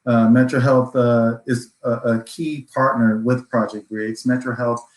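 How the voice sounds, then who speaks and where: Echoey, even-toned man on a panel. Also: mid-range voice, unhurried. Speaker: organization chief executive, panelist. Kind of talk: prepared remarks on a virtual panel. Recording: roomy, echoing sound.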